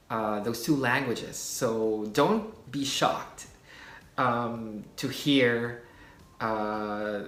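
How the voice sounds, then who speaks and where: A man with a Filipino accent explaining things in a relaxed, casual way, with many fillers and restarts. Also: fairly low pitch. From Philippines. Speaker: video host. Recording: echoey room.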